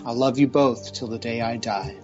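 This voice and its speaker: A man's voice: mid-range voice, steady tone, normal speed, muffled recording, over music, lightly acted. A man playing a torn, earnest figure giving an overwrought, solemn farewell in a soap-opera parody.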